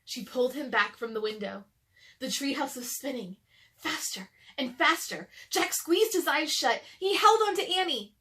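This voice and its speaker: A woman's voice: high-pitched, lively intonation, moderate pace, pushed and bright. A woman hosting a children's read-aloud, narrating a storybook expressively.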